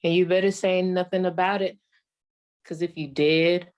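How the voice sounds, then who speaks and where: A woman's voice: lowish voice, steady tone, moderate pace, lo-fi sound, noisy background, natural voice. A woman playing a sassy, folksy old female comedian doing a wry 1940s radio stand-up monologue.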